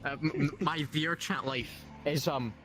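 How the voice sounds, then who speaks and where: A man's voice: a little high, fairly even intonation, moderate pace, fairly bright tone, slight background noise. A man co-hosting a casual podcast, rambling and joking and slipping into mock accents mid-sentence. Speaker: podcast co-host.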